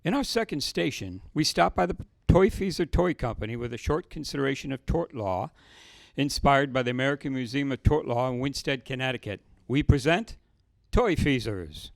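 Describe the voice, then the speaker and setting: A man announces a radio show from a script. His voice is mid-pitched and gravelly, with lively intonation, recorded dry and close. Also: moderate pace, dry recording.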